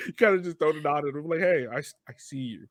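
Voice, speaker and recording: A man's voice: on the high side, quick pace, dry recording, clean studio sound. An American man hosting a casual podcast interview in an upbeat, chatty, encouraging manner. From Richmond, Virginia, United States.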